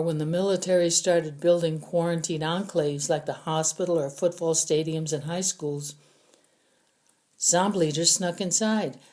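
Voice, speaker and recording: A woman with a slightly low voice narrates a young adult adventure novel. She reads scripted first-person prose as the storyteller of an audiobook. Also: mellow.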